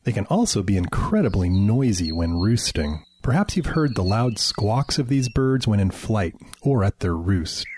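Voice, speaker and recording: Low-voiced man reading a science segment. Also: big pitch swings, moderate pace, few pauses, a little mellow, a little hiss or hum. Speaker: biology researcher giving a radio segment. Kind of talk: scripted radio segment.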